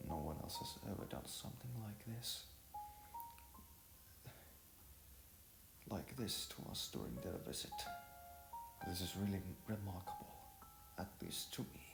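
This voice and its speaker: A man voicing a gentle, caring, inquisitive girl in a narrated story, soft and halting. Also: low voice, lively intonation, gravelly, echoey room, music in the background, poor audio. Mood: hushed, grateful.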